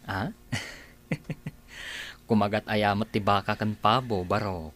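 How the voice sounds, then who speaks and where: A man's voice: fairly low pitch, slow, fairly bright tone. A man playing a loyal, deferential farmhand who speaks earnestly to his boss, in a radio drama. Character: loyal farmhand, uncle figure to the boy.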